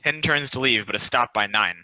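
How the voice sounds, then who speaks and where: Bright, crisp-toned man reading stage directions. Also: mid-range voice, brisk, no room sound, poor audio. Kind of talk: scripted narration.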